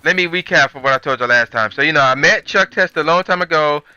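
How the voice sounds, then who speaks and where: A man's voice: on the high side, fast talker, bright, forceful tone, clear, resonant tone, dry recording, lo-fi sound. A man telling a story as a channel host, in a rambling, conversational, slangy way. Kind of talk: casual storytelling commentary.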